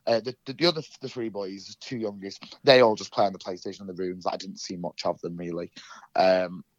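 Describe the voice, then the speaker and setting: A man's voice: deep voice, steady tone, fairly quick, no echo, muffled recording. A young man from England with a cold, sounding nasal, telling his story in an interview with many ums and restarts. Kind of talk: casual recounting in an interview.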